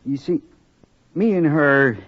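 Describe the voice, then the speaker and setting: An actor playing an awkward, sincere frontier suitor in a radio western, hesitant and stammering, with an American Western accent. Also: male voice, a little high, slow. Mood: bashful, hesitant. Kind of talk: 1950s radio western acting. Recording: dull vintage radio recording.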